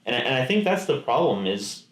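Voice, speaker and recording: A man co-hosting a casual podcast, talking at an ordinary pitch in a roomy, echoing space. He rambles, restarts his sentences and slips into quoted asides as he makes his points. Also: very animated, fairly quick. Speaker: podcast co-host. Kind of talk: casual chat. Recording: echoey room.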